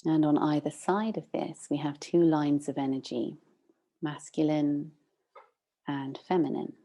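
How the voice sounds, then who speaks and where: A woman meditation teacher leading a guided practice, instructing gently and unhurriedly with long pauses. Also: low voice.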